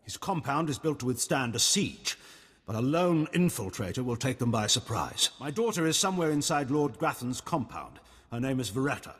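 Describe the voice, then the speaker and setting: A man's voice: mid-range voice, very animated, normal speed, fairly bright tone, husky, long reverb tail. A man playing a cold, haughty middle-aged imperial admiral in a video game, formal and condescending. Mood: grave.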